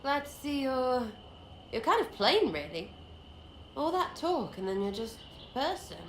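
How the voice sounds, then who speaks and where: Scrappy young archer rogue, English accent, irreverent and slangy, highish voice swooping about, roomy game audio. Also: female voice, big pitch swings, measured pace, a bit bright, exaggerated voice. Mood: cheeky, brash. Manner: slangy, blunt, rambling, mocking. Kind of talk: video game dialogue acting. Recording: roomy echo, faint background noise.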